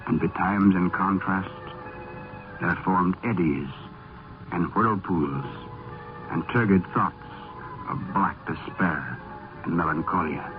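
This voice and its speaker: An actor playing a grave, ominous storyteller, narrating solemnly and dramatically in the style of 1950s radio. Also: male voice, sits low. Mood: solemn, brooding. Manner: solemn, portentous, slow dramatic delivery. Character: grave, ominous male storyteller of horror tales. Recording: tinny old radio recording over music.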